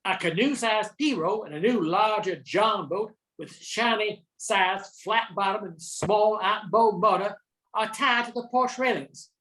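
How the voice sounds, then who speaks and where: An older woman acts as a folksy radio storyteller with a medium-pitched voice. The narration is scripted and sets scenes of bayou legend for a radio drama. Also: unhurried, a bit bright, lightly acted, poor audio. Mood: storytelling.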